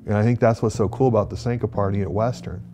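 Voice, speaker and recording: A man's voice: on the low side, fairly even intonation, quick pace, music in the background. A man speaking informally and conversationally in a documentary interview.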